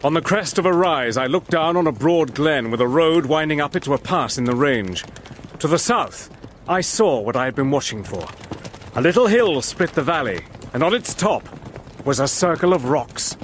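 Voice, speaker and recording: An actor playing a steady, soldierly adventurer, narrating his wartime story in a measured, grave manner. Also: on the high side, slight background noise, natural voice. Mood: grave, tense. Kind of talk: scripted dramatic narration.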